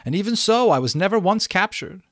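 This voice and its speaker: A man voicing a proud, high-pitched female unicorn. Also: expressive, a little fast, a bit bright, no room sound, studio quality. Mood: amused, proud. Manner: haughty, emphatic. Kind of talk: storybook character voice.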